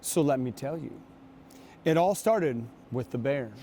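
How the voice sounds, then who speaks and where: A man reading aloud and talking to children as a guest reader, with an unhurried, storytelling manner. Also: mid-range voice, lively intonation, mellow, a little hiss or hum. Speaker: guest reader, basketball coach.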